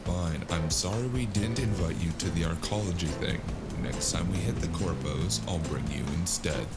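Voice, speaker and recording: Casting: male actor as world-weary cyberpunk noir narrator, extremely low and unhurried, wry and brooding, over loud music. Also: close to an everyday voice. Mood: casual, exasperated. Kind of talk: scripted first-person noir audiobook narration. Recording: constant loud music underneath.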